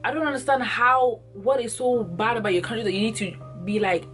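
A woman's voice: on the high side, steady tone, brisk, fairly bright tone, faint music behind. Earnest young woman vlogger. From Ghana. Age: young adult. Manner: earnest, emphatic, repeats herself for stress. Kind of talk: passionate direct-to-camera monologue. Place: small indoor room, plain wall. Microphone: camera in front at close range.

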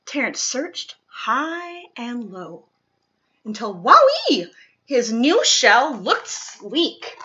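A woman reads a children's picture book aloud with expressive, deliberate dramatic emphasis, recorded in a living room. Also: on the high side, muffled recording. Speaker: storytime reader.

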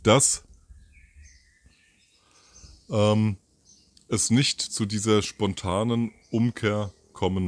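A man's voice: mid-range voice, no room sound. A man in his fifties doing a casual solo commentary outdoors by a garden, rambling with many fillers and self-corrections, into a mic with a furry windscreen. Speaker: host of a commentary show. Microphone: furry windscreen mic near camera.

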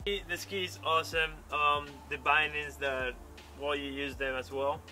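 A young pro water skier answers questions in a bright, forward voice, stopping and restarting as he hunts for words. He is recorded outdoors on a lakeside dock, with faint ambient sound around him. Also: on the high side, steady tone, slight background noise. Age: young adult. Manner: halting, searching for words in a second language. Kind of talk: casual interview.

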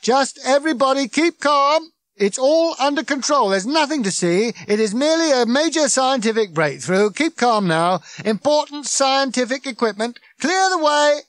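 Brash fake official played by a male narrator: loud, high, bawling crowd-control orders with pompous authority, for an audiobook. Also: expressive, normal speed, no room sound. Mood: falsely reassuring. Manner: booming, bawling, self-important. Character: brash man bellowing bogus official crowd-control orders through megaphone. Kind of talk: audiobook character voice.

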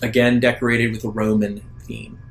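Halting man running a tabletop game. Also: lowish voice, little pitch movement, gravelly, soft background music. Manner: halting, deliberate description. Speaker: game master. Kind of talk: improvised narration of a tabletop sci-fi roleplaying game.

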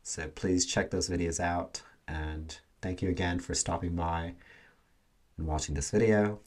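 Young adult male reaction host. Deep voice, casual conversational commentary. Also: fairly even intonation, a little slow, a little mellow.

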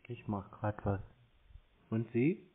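A man chatting casually on the telephone as a customer, laconic and deadpan. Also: on the low side, slow pace, background noise. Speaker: prank caller posing as customer. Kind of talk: casual phone chat. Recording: muffled narrowband phone line.

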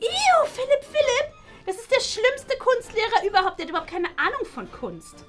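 A woman's voice: extremely high pitch, very animated, normal speed, faint music behind. A woman voicing a snobby, bossy schoolgirl who speaks with haughty scorn in a toy play series. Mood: scornful.

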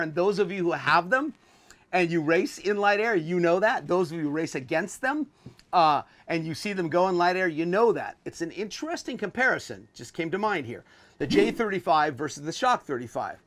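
A man's voice: high-pitched, expressive, brisk, a bit bright. A man in his sixties giving a casual, offhand, opinionated tour as a video host, recorded inside a small boat cabin.

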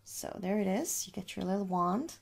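A young woman speaking softly in an ASMR shop roleplay, coaxing the listener with little questions in a husky voice. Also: medium pitch, normal speed, slight background noise. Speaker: ASMR performer playing a makeup shop seller. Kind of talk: soft-spoken ASMR roleplay. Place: small room at home.

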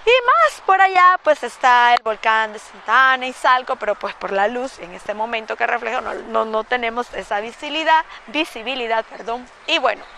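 A Salvadoran woman in her thirties talks casually and eagerly to her viewers from a windy hilltop, her voice high and lively. It is bright and sharp in tone. A faint hiss of wind and open-air ambience sits behind her. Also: normal speed, creaky, few pauses. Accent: Salvadoran Spanish. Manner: enthusiastic, chatty, addresses viewers directly. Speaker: travel vlogger. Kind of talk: casual travel vlog narration. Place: outdoors at a windy hilltop lookout restaurant. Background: wind and outdoor ambience.

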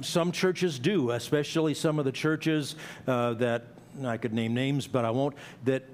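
A middle-aged man preaching an expository sermon in a church, explaining a passage in a conversational way with asides and small restarts. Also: a little high. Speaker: pastor. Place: church sanctuary.

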